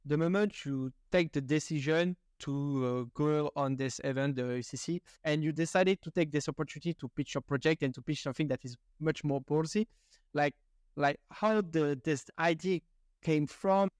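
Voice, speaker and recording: A podcast host winds through long, meandering questions in a casual tone, his phrasing loose and a little off. His voice is slightly high and smooth, recorded dry and close. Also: normal speed, no echo.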